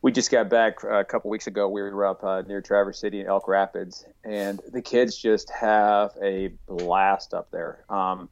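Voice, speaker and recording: A man's voice: lowish voice, fairly even intonation, moderate pace, few pauses. A middle-aged man from Arkansas, chatting as an interview guest in a folksy, rambling way with many fillers. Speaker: guest, rifle company owner. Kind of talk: casual interview chat. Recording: dull lo-fi audio.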